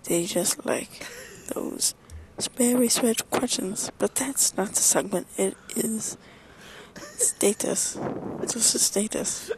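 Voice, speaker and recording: A woman speaks slowly in a middling pitch on a slightly noisy homemade recording. She rambles in a silly, repetitive way, leaving odd pauses as she records a podcast bumper. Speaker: listener who sent in a podcast bumper.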